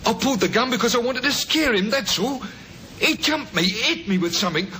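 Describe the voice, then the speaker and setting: A man's voice: expressive, fairly quick, sharp tone, full character voice. A man playing a cornered small-time crook in a 1950s radio drama, slangy and agitated. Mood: anxious, confessing. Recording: old radio recording with noise, rumble.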